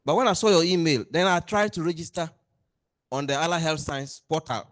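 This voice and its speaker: A man's voice: on the high side, a little fast. A man, a lecturer raising an earnest complaint at a meeting and pressing his questions. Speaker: lecturer and association member. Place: meeting hall.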